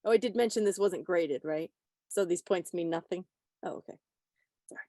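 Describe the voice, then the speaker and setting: A woman's voice: lowish voice, normal speed, mellow, no room sound, studio quality. A woman with a playful, teasing, chatty manner, casually presenting a quiz on a video call. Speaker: quiz host at a club meeting. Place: online video meeting.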